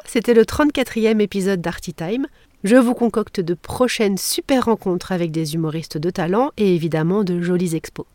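A woman's voice: mid-range voice, a little mellow, no room sound. Playful woman hosting an arts podcast. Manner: playful, jokey asides. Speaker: podcast host. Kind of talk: scripted podcast narration.

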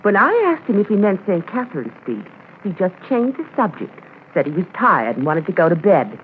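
Chatty, muddled cabin landlady, eager and gossipy, very animated delivery, tinny old radio sound. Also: lowish voice, brisk. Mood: chatty, confiding. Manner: rambling, gossipy, self-correcting. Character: chatty, eager, muddle-headed woman witness running tourist cabins. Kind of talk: 1950s radio drama acting. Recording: tinny, noisy 1950s radio transcription.

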